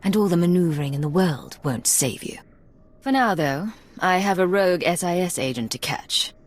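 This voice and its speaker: A woman's voice: on the low side, expressive, normal speed. A woman playing a cool, resolute Imperial intelligence agent in a video game, speaking in a composed, clipped and stern way. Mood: cold, threatening. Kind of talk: scripted video game dialogue.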